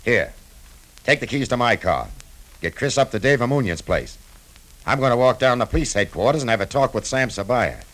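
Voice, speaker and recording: An actor playing a tough, cagey hero in a 1950s radio crime drama, curt and wisecracking. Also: male voice, medium pitch, sing-song, fairly quick, a bit bright. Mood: urgent, commanding. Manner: hard-boiled, curt, wisecracking. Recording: noisy 1950s radio recording.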